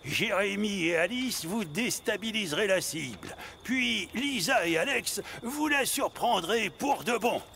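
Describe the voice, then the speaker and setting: A man's voice: high-pitched, animated, a little slow, creaky, hardly any pauses, bright, forceful tone, a little hiss or hum, heavily acted. A man voicing a bossy, boastful pirate truck captain in a French children's cartoon, theatrical and greedy. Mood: bossy, scheming.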